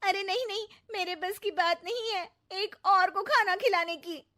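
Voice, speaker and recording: A woman's voice: extremely high pitch, normal speed, pushed and bright, pitched above the performer's own voice. A woman voicing a harried, sly young daughter-in-law in a cartoon comedy story, exclaiming and repeating herself in a fluster. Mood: panicked protest.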